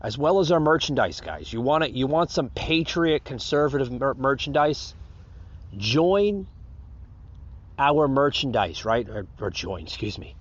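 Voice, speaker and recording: A man's voice: medium pitch, sing-song, unhurried, lo-fi sound. An American man hosting a podcast in a casual, unscripted monologue, rambling and repeating himself as he addresses his listeners. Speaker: podcast host.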